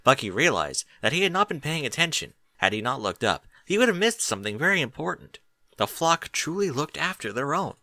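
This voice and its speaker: Bright-voiced man narrating a story with lively pitch. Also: brisk, dry recording. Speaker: audiobook narrator reading fan fiction.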